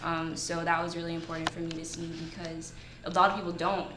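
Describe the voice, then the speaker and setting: A woman's voice: on the low side, level tone, fast talker. A woman talking casually in a group discussion, reflective and a little hesitant. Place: indoor room at a group table read. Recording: roomy echo, noticeable noise.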